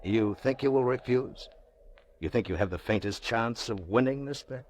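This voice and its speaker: An actor playing a wealthy, anguished middle-aged banker in an old-time radio drama, rhetorical and theatrical. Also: male voice, mid-range voice, normal speed, a little mellow, a little hiss or hum, natural voice. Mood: grave persuading. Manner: theatrical, rhetorical, swinging from musing to urgent pleading.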